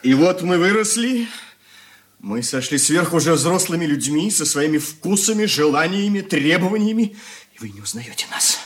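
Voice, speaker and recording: An actor playing an earnest, hurt young son in a radio drama, speaking in measured, heartfelt phrases. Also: male voice, fairly high pitch, big pitch swings, a bit bright. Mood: earnest reproach. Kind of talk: 1980s Soviet radio play acting.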